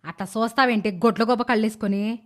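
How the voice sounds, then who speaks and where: A woman voicing a blunt, sharp-tongued, bossy village woman in an animated moral story. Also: a little high, fast, voice pushed down, natural voice. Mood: scolding. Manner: sharp-tongued rustic scolding.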